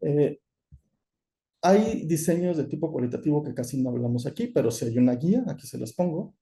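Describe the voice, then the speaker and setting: A man giving an online lecture over a video call, explaining in a measured way with frequent "eh" and "¿no?". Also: a little high, animated, dark tone. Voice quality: gravelly. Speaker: course lecturer.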